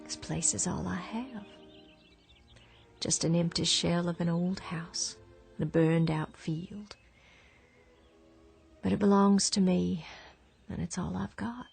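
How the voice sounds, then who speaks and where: A grieving widow in a radio play, low-pitched, soft and hushed. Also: female voice, steady tone, takes it slowly, vocal fry, pauses often, breathy edge, faint music behind, heavily acted. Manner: quiet, grieving, turns hushed and vengeful. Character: lonely, bitter war widow on a ruined farm. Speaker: actress in a radio drama. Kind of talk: radio drama acting.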